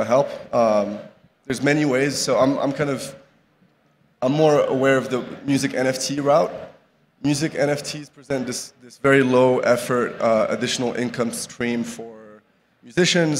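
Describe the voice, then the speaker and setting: A young man from Morocco giving a casual conference talk at a podium, full of fillers and restarts. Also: medium pitch. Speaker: conference speaker. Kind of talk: live conference talk with slides. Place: large conference hall with audience. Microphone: podium microphone. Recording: reverberant hall, faint background noise.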